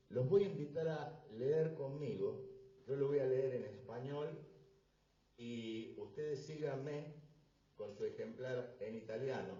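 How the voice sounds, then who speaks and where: Slow dark-voiced guy preaching in a church, lively pitch, far off mic, echoey dull recording. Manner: speaks in short phrases with pauses for translation, rising to fervent emphasis. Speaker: visiting preacher. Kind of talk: sermon delivered through an interpreter. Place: church hall.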